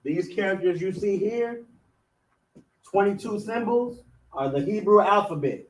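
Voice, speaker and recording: A man leading a class, talking loosely and casually, with frequent restarts and the repeated tag "you know what I'm saying". His voice sits a bit high for a man, and he sounds set back from the microphone. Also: lively intonation, a little slow, distant mic, slight background noise, low-quality recording. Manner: chants letter names loudly. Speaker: class teacher. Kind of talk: casual teaching of an alphabet class.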